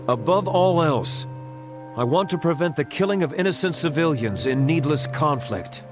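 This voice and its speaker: A dignified young prince, voiced by a man, slightly high-pitched, measured and sincere, with orchestral music clearly beneath him. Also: animated, lightly acted. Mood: earnest. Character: earnest young prince pleading for peace. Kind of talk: dubbed video game cutscene.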